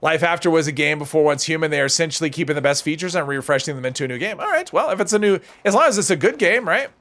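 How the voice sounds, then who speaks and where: A man with a somewhat high voice and a gravelly edge. He chats casually with viewers while playing a game on a live stream, answering their questions as he goes. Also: animated, very fast, fairly bright tone. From United States. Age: older than high school, adult.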